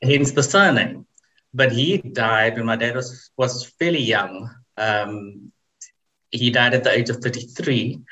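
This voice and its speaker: A man in his forties, a podcast guest telling his family story in a measured, reflective way. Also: mid-range voice, bright, forceful tone, low-quality recording. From South Africa. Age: 40s. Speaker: interview guest. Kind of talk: casual interview chat.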